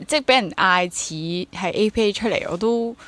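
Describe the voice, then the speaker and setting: A woman's voice: medium pitch, moderate pace, fairly bright tone, no room sound. A woman in her twenties with a Hong Kong accent, speaking candidly and reflectively in a casual interview, mixing in English words. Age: 20s. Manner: candid, self-questioning, mixes English words into sentences. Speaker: interview guest, freelance theatre actor and drama teacher.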